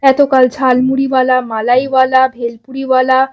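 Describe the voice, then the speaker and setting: A woman reading a scripted story as narrator, with dramatic, expressive delivery. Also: sits high, normal speed, muffled recording. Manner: expressive, acts out characters' dialogue.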